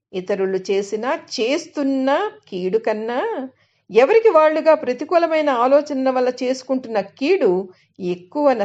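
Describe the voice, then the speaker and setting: A woman reading a scripted reflective essay aloud in a measured, instructive manner. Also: fairly high pitch, darkish tone. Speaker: reader of articles and book excerpts. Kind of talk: scripted reading of a spiritual self-help essay. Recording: dull, lo-fi sound.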